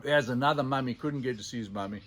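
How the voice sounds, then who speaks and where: A man telling stories in an animated, unscripted way, theatrical and given to dramatic pauses. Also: medium pitch. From Zimbabwe. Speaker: storyteller, sculptor presenting his own elephant figures.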